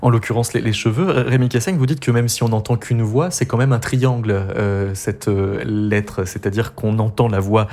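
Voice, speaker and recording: A male radio host asking measured questions, with frequent hesitations. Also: on the low side, steady tone, darkish tone. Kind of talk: cultural radio interview.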